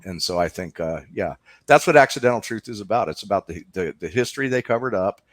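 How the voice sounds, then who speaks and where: A man's voice: on the low side, animated, fast talker, no room sound. A man talking conversationally as a documentary filmmaker being interviewed.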